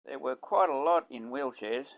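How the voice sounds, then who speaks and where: A man's voice: mid-range voice, animated, moderate pace, highs cut off, close to an everyday voice. A man playing a wry, polite young Australian soldier back home from the war in a radio play. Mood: polite, easygoing. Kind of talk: amateur radio play dialogue.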